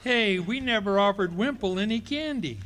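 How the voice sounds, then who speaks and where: A boastful middle-aged husband who blusters and stammers through his jokes, his voice swinging widely in pitch, as an actor plays him. A faint hum of the room sits behind him on this live stage radio play. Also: lively intonation, moderate pace. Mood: blustering.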